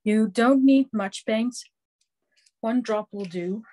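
Slow, hesitant Dutch-accented woman teaching crafts. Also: on the high side, mellow. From Netherlands. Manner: slow, halting, many ums, hands-on explaining. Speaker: craft instructor. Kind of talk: live online craft class demonstration.

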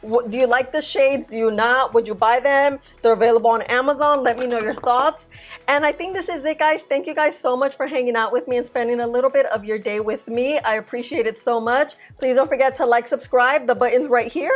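A woman in her thirties talks straight to the camera in a fairly high voice, loud and bubbly as she reviews makeup. Her chat is casual and excitable, with faint music playing underneath. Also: fairly quick. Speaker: beauty vlogger. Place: indoor filming set with plain backdrop.

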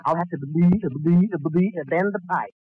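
A man's voice: sits high, moderate pace, slightly rough. A man voicing a bumbling, boastful young cousin with a cartoonish sputtering stutter in a 1940s radio comedy. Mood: flustered, eager. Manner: boastful, word-substituting bumbler. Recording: dull lo-fi 1940s radio recording.

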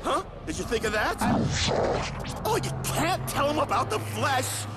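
An actor playing an unhinged, panicky apocalypse survivor in a game, rambling and whining in bewilderment. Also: male voice, extremely high pitch, very animated, a little slow, heavily acted. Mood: agitated, desperate. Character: unhinged, panicky male apocalypse survivor talking to unseen voices. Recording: loud music behind the voice. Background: loud dramatic music.

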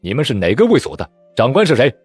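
A man voicing a calm, cold rebel leader who speaks in a stern, measured, husky voice. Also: mid-range voice, faint music behind, voice pushed up.

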